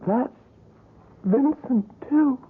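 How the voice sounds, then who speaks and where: A shaken, halting young woman. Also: high-pitched, lively intonation, husky, full character voice. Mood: distressed. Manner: hesitant, halting speech. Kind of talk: 1970s radio drama acting. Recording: tinny lo-fi radio broadcast.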